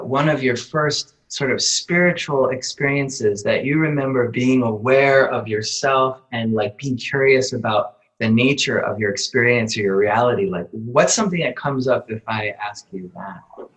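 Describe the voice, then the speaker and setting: A man hosting a podcast interview, friendly and quick to laugh, hesitant with fillers when asking questions. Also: mid-range voice, a bit bright, poor audio. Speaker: podcast host. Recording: music playing behind.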